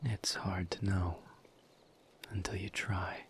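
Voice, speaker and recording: A man voicing a calm, formal misery demon in an audio roleplay, speaking softly in a breathy near-whisper with measured pauses. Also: low-pitched, slight background noise. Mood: calm, reflective. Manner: measured, halting, formal diction with long pauses. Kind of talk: audio roleplay, intimate scripted monologue.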